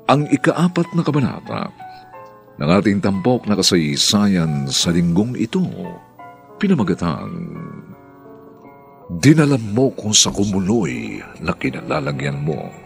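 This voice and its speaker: A man hosting a radio drama, giving a formal, theatrical scripted introduction. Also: low-pitched. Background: music.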